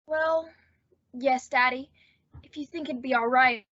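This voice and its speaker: A woman's voice: high voice, a little slow, fairly bright tone. A woman playing a sweet, naive teenage daughter in an old-time radio sitcom, with an earnest, girlish, pleading manner. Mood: reluctant. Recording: dull, lo-fi audio.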